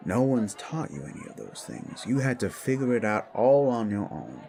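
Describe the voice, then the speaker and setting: A man playing a wise, frank supernatural mentor who gives blunt, measured counsel in an audio drama. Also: fairly low pitch, very animated, gravelly, darkish tone, a faint crowd. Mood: frank, sympathetic. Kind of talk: scripted audio drama dialogue.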